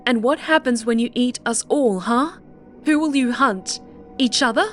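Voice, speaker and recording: A stubborn young captive girl, acted by a woman narrator in a dark storybook. She speaks high and forcefully, with impassioned, furious defiance. Music sits faintly behind her. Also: normal speed, natural voice. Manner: impassioned, emphatic shouting.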